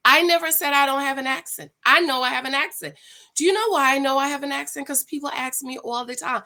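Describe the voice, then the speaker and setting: A woman who grew up in Jamaica and the American South, with a Jamaican-American accent, chatting loudly and casually to camera with lots of fillers, recorded at home on her computer without a separate microphone. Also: sits high, fast. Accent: Jamaican-American, with a Southern US touch. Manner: loud, chatty, animated, many fillers. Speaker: reality TV recap vlogger. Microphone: computer built-in mic, no microphone.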